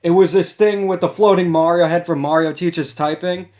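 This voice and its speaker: A man's voice: high voice, steady tone, normal speed, darkish tone. A man hosting a casual discussion, giving commentary over background music. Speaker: host. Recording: music playing behind the voice.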